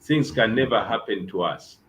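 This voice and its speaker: A man's voice: lowish voice, normal speed, a little hiss or hum, low-quality recording. Earnest man giving pastoral advice. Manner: earnest, steady, repeats key phrases. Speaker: bishop guest. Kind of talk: preaching-style advice on a talk show.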